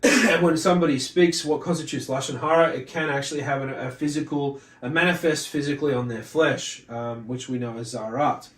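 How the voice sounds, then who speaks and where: A man giving an informal religious lesson, reading texts and commenting in a halting way, repeating and correcting himself. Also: mid-range voice, a bit bright. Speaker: religious teacher presenting a study video. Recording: roomy echo, distant mic, faint noise.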